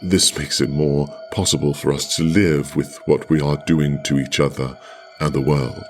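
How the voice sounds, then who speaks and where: A man performing a radio play monologue as a solemn, privileged man of the future chosen for sacrifice, speaking in a measured, formal, halting way. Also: rumbling low, fairly even intonation. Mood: solemn, resigned. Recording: music under the voice.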